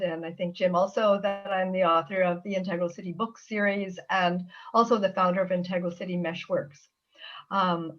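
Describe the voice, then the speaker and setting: A woman's voice: medium pitch, dull audio. A woman introducing herself on an online panel, speaking earnestly in long flowing sentences over a video call. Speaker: author and panelist.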